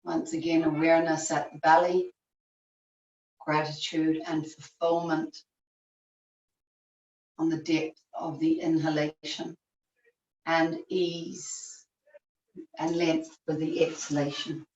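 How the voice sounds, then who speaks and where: A woman teacher leading a guided yoga relaxation in measured short phrases with long pauses, recorded over a video call. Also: deep voice, flat delivery, darkish tone. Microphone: video call, at a distance. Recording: distant, echoey, muffled video-call recording.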